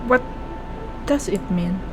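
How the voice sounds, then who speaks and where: A woman's voice: mid-range voice, animated, music in the background. A woman, reacting to a game as she plays, musing and chatty. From Indonesia. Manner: slow, musing, chatty, switching languages. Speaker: gaming streamer. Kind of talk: live game commentary.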